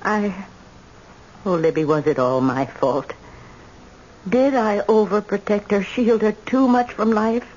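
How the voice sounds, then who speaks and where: A woman's voice: medium pitch, takes it slowly, pauses often, a little mellow. A woman playing an indomitable, bitter old grandmother, imperious and emphatic, in old-time radio drama style. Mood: pleading, brooding. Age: older. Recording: dull, noisy old radio recording.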